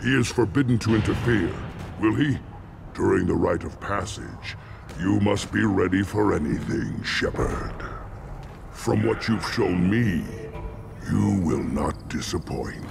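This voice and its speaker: Gravelly, solemn alien warrior shaman. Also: low-pitched, background noise, exaggerated voice. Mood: stern, approving. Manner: ceremonial, portentous, measured. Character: solemn, battle-loving male alien krogan shaman. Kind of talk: dramatic video game acting.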